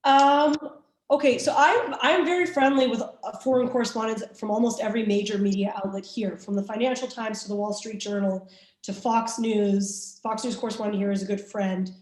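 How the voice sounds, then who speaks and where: A woman's voice: mid-range voice, fairly even intonation, normal speed, roomy sound, low-quality recording. A woman from Canada, a journalist answering interview questions candidly, with many restarts and fillers. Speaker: journalist guest.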